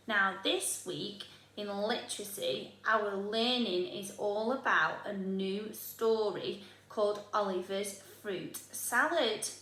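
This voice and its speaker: A woman with a British accent, a primary school teacher reading a storybook to young children in a deliberate, expressive way. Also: mid-range voice. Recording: echoey room.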